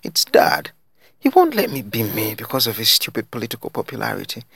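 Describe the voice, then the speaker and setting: A man's voice: mid-range voice, huge pitch swings, brisk, gravelly, a bit bright, slightly rough, no echo, exaggerated voice. A man voicing an angry, bitter young female student in a dramatic story reading. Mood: irritated, bitter.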